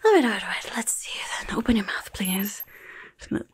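A woman's voice: mid-range voice, sing-song, brisk, breathy edge. A young woman voicing a rude, chaotic female goblin nurse in an ASMR roleplay, muttering fussily and repeating her words, close to the microphone. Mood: fussy, instructing. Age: young adult. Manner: fussy, muttering, repeats words, blunt asides. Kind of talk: whispered and soft-spoken ASMR roleplay.

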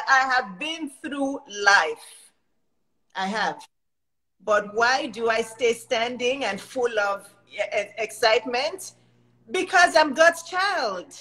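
Nigerian-accented woman, 50s, high voice, effusive host on a webcam call. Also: a little slow, a bit bright, low-quality recording. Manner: effusive, laughing, emphatic. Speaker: host and life coach. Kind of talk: casual video-call interview. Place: indoors in front of a logo backdrop. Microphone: webcam on a video call.

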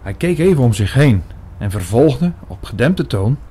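A man narrating a radio play in the first person, measured and literary in his storytelling. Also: medium pitch, big pitch swings, warm tone, breathy edge. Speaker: narrator and main character.

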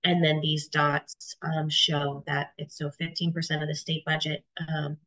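A woman in her thirties presenting charts on a video call, stuffy from a cold, with halting speech full of ums and restarts. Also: low-pitched, level tone, a bit bright. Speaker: webinar presenter. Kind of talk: explaining data charts in a webinar. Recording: dull lo-fi video call audio.

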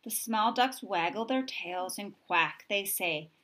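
A woman reading a children's picture book aloud in an expressive way, with simple, deliberate phrasing for young listeners. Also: medium pitch. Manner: expressive, acting out sound effects. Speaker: storybook reader.

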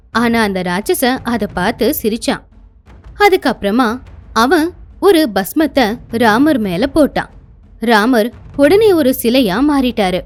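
High bouncy lady narrating a kids' cartoon story, music under her. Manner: expressive storyteller.

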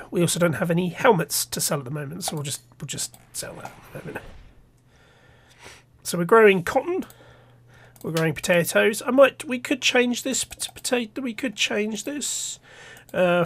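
A man's voice: high voice, a little slow, a bit bright. Stuffy-nosed man narrating his gameplay. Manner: thinking aloud, rambling with restarts. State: stuffy nose from a cold. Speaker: let's play host. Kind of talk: casual gameplay commentary.

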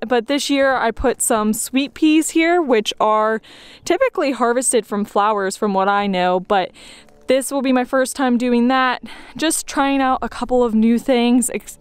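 A woman's voice: on the high side, clear, resonant tone, no echo. A woman vlogging casually as she walks through her home garden and explains her plantings. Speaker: homesteading vlogger. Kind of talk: casual garden tour vlog. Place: outdoors in a backyard garden.

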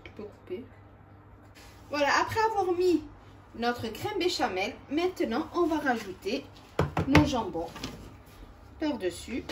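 A woman's voice: high-pitched, very animated, unhurried, pauses often, a little hiss or hum, low-quality recording. A woman hosting a home cooking video, chatting step by step and talking straight to her viewers. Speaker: cooking channel host. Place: home kitchen.

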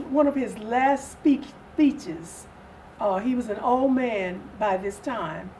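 An older woman speaking as an interview guest in a measured, deliberate way with pauses. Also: on the high side, darkish tone. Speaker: guest from a readers theatre group. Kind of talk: interview conversation. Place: indoor sitting room set. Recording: distant mic with faint room noise.

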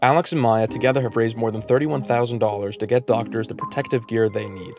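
A man's voice: mid-range voice, steady tone, fast talker. A man speaking as a podcast host, reading scripted credits and announcements. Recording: music behind the voice.

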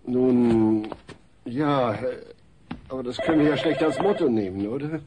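A man's voice: medium pitch, unhurried, natural voice. An actor playing a polite, exasperated suburban family father in a comedy audio play. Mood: flustered. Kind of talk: German sitcom audio play dub. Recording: noisy old cassette recording.

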